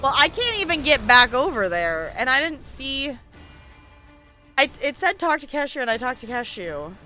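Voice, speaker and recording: Animated bright-voiced woman live streamer. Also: high voice, normal speed, faint music behind. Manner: animated, exclaiming, chatty. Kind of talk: casual live stream chat with gameplay commentary.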